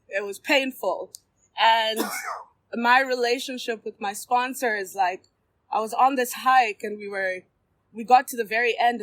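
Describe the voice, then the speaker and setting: A woman in her thirties speaking candidly at a recovery meeting, halting often with ums and restarts as she addresses a circle of people in the room. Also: fairly high pitch, no echo, rough recording. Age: 30s. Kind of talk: personal share at a recovery meeting.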